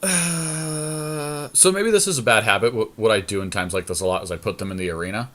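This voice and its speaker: A man's voice: mid-range voice, a little fast, fairly bright tone. A man co-hosting a podcast, giving casual, informal commentary on a card game draft as he thinks aloud.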